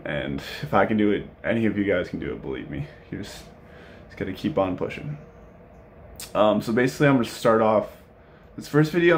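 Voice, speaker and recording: Low-voiced young man, casual vlog talk. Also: slight background noise. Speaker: vlogger. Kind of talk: casual personal storytelling to camera. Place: indoor room at home.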